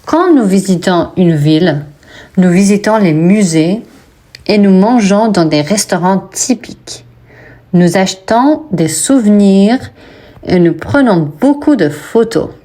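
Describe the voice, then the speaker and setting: A woman who teaches French and wrote a textbook, reading vocabulary and example sentences slowly for a pronunciation lesson. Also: medium pitch, expressive, mellow. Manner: slow, deliberate word-by-word reading.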